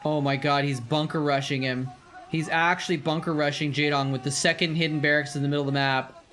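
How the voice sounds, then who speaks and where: A man hosting a live stream, chatting casually and reacting excitedly to the game he is watching. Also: fairly high pitch, brisk, a little hiss or hum. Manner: chatty, rambling, gets excited and laughs at the action.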